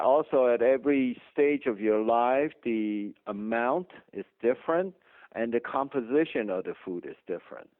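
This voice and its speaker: A man's voice: medium pitch, no echo. Taiwanese-accented man on a tinny line. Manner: emphatic, explanatory, chuckles often. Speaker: dentist guest. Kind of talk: interview conversation. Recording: dry tinny phone line.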